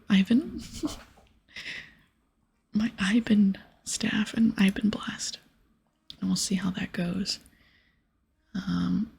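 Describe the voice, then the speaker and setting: A woman hosts a gaming session in a very breathy ASMR whisper. Her voice has a creaky edge and sits at an ordinary female pitch. She muses softly and casually as she plays, sometimes reading game text aloud. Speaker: host of an ASMR gaming series.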